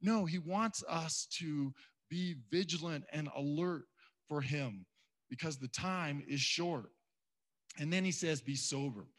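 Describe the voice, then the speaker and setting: A man's voice: on the high side, takes it slowly, fairly bright tone. A man preaching a sermon to a church congregation in a casual, conversational way. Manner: conversational, acts out quoted voices. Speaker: pastor.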